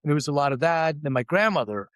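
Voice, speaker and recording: A man's voice: fairly high pitch, lively intonation, fast talker, dry recording. Man, middle-aged, interview guest, candid, self-deprecating, dry close sound. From Lithuania, raised in Philadelphia. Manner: open, self-deprecating, acts out quoted voices. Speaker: guest, company CEO.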